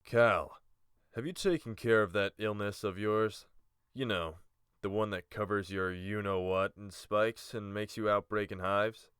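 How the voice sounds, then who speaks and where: A man's voice: lowish voice, fairly even intonation, a little slow, fairly bright tone, smooth, no room sound, clean studio sound. An actor playing a composed, courteous male village leader with a wry streak in a fantasy audiobook. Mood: wry teasing. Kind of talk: audiobook character acting.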